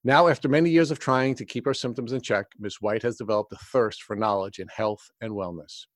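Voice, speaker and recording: A man's voice: medium pitch, fairly even intonation, a little fast, no room sound. A man speaks as the host of an interview program, with a mid-range voice and a close, dry sound. He presents in a prepared, scripted way and puts questions to a guest.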